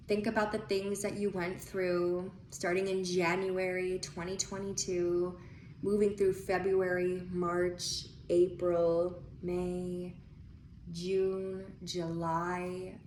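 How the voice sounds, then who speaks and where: A woman leading a guided breathing visualization in a soothing, unhurried manner with pauses between phrases. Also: fairly low pitch, a little mellow. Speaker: guided meditation leader. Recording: echoey room with faint noise.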